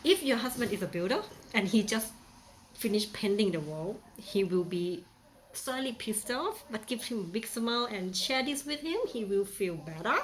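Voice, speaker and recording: A woman's voice: medium pitch, expressive, moderate pace, a little hiss or hum, poor audio. A young woman from Thailand with a Thai accent, chatting playfully through a casual how-to demonstration with many fillers. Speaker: vlogger.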